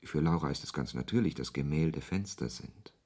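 A man's voice: animated, normal speed, darkish tone. An actor playing a brooding, ironic author who narrates his own story in a radio play, with a literary, sardonic manner. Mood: reflective narration. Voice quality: low, husky voice. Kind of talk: first-person radio play narration and dialogue.

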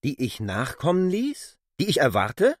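A brusque, animated, demanding newcomer. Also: male voice, medium pitch, a little slow, dry recording, clean studio sound. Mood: suspicious, probing. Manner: questioning, commanding. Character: brusque, impatient male land surveyor, adult newcomer. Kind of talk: audio drama dialogue.

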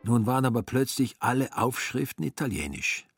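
A male narrator delivers scripted literary prose. His voice has a middling pitch, and faint music plays beneath it. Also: lively intonation, normal speed, slightly rough, no echo, studio quality.

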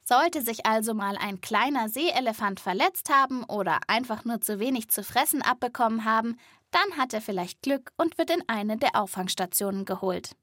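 A woman narrates a children's animal podcast in a lively, playful way, speaking straight to young listeners. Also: a little high, moderate pace, no room sound, studio quality.

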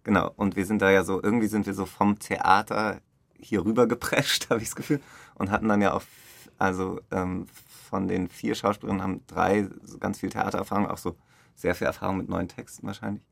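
Low, creaky-voiced man in conversation. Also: steady tone, fairly quick, dry recording. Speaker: radio play director. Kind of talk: interview conversation.

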